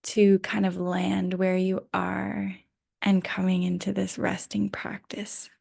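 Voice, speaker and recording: A woman guiding a restful yoga nidra meditation in a gentle, unhurried manner with long pauses, her voice drifting into breathy near-whispers. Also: medium pitch, monotone. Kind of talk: guided rest meditation.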